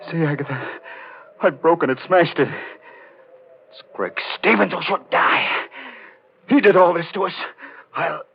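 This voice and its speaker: An actor playing a jealous, unhinged sculptor turned murderer in a theatrical, halting 1940s radio horror style. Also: male voice, a little high, slightly rough, exaggerated voice. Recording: muffled old radio recording with music.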